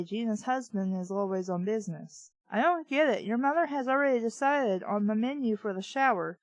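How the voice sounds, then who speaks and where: A woman's voice: high-pitched, dry recording, rough recording. A woman reading a story aloud and breaking in with blunt, exasperated, sarcastic commentary full of swearing. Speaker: host of a bad-fiction reading show.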